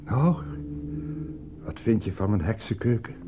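A grandiose mad scientist speaks low and very slowly, lecturing with proud self-satisfaction. It sounds like an old radio play, narrow in band, with music swelling behind him. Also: male voice, lively intonation, noisy background. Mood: proud, exalted. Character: grandiose male mad scientist, proud of his life's work. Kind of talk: radio drama acting.

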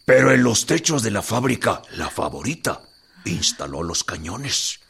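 Gravelly, slow old Mexican grandfather. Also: on the low side, big pitch swings, fairly bright tone, heavily acted. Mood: reminiscing. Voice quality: gravelly, husky. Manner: folksy, slow storytelling. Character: very old grandfather, folksy veteran of the revolution. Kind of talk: radio drama acting.

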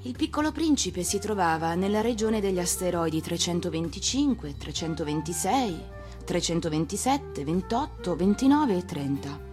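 A woman's voice: fairly low pitch, lively intonation, normal speed, a little mellow. A woman reading a fairy tale expressively, as an actress narrating a storybook for radio. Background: music.

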